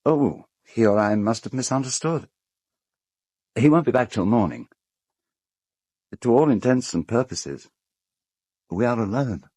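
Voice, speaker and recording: An actor with a British accent playing an urbane, cold, self-justifying gentleman in an audio drama, speaking in a measured, articulate, dryly ironic way. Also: mid-range voice, animated, dry recording, studio quality. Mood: guarded, dry.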